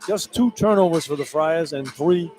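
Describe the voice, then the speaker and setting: A man with a fairly high voice offers color commentary on basketball. He talks in a folksy, informal way over a noisy live radio feed from an arena. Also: normal speed, a little mellow. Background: clear arena noise.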